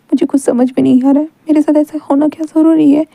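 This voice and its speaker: A woman's voice: high voice, steady tone, creaky, warm tone, no room sound, voice pushed up. A woman voicing a jealous, heartbroken young man who pleads through tears and stammers. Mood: tearful. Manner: crying, pleading, halting with stammers.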